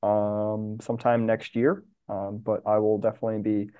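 A man's voice: fairly low pitch, little pitch movement, normal speed, warm tone, smooth. A man chatting casually as a guest on a podcast. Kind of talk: casual chat.

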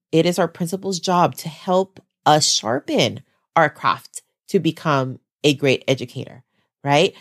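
A woman with a low, congested voice, still getting over the flu. She talks straight to her listeners as a special ed teacher with her own podcast, chatty and encouraging. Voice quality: lower, congested voice. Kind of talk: solo podcast talk.

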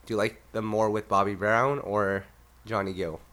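A man's voice: fairly low pitch, flat delivery, moderate pace, a bit bright. A Canadian man hosting a podcast, congested and a bit nasal from illness, easygoing and laughing often. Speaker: podcast host. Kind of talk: casual chat.

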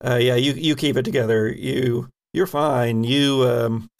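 A man narrates a tabletop game in an unscripted, halting way. His voice is mid-pitched with a noticeable fry in it. He explains outcomes and rules as he thinks them through. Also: fairly even intonation, background noise. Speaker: game master of a tabletop role-playing podcast.

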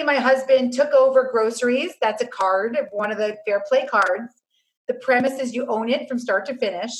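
A woman who works as a family mediator, talking earnestly as a guest, laying out numbered steps and telling anecdotes. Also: low-quality recording. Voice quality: low-voiced by her own account. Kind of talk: conversational guest explaining advice.

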